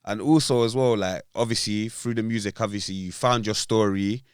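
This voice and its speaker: A man's voice: fairly low pitch, a little fast, clear, resonant tone, dry recording, studio quality. A man co-hosting a podcast, using lots of slang and hyped, eager interjections. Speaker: podcast co-host. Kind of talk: casual podcast chat.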